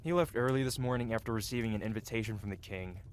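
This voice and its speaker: A man's voice: on the low side, fast talker, close to an everyday voice. A man playing a cocky, flirtatious medieval blacksmith in a fantasy ASMR roleplay, with a playful, teasing and theatrical way of talking. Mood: flirty, playful.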